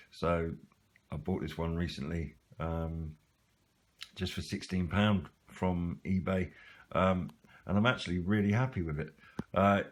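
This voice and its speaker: Low-voiced British man, halting and casual. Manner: hesitant, halting delivery. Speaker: hobbyist video presenter. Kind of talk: casual unscripted product walkthrough.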